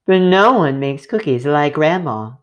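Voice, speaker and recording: A man voicing a warm, hospitable mother of young children in a sing-song storybook delivery. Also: on the high side, animated, a little slow. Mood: fond.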